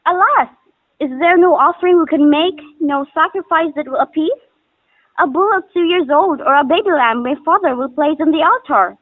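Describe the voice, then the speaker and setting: A woman acts out an earnest young daughter with a high voice, pleading in formal, old-fashioned stage lines. The sound is dry and narrow, like a telephone. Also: moderate pace, no room sound, close to an everyday voice. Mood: anxious, pleading. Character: young devoted daughter of a bell maker, anxious and pleading. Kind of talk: stylized stage drama recital of formal archaic lines. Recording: muffled phone-like audio.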